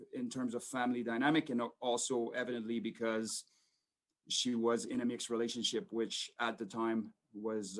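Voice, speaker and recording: A man in his forties speaking over a video call in an everyday mid-range voice. He talks informally to a school audience and rambles, with many fillers and restarts. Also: mid-range voice. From Quebec, Canada. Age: 40s. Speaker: police sergeant major speaking to students.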